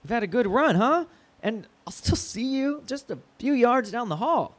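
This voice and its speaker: Flustered, swingy-voiced station manager. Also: male voice, very high voice, animated. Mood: cajoling. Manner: halting, cajoling corporate talk with outbursts. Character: flustered, placating, foul-mouthed male radio station manager. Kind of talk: naturalistic audio drama acting.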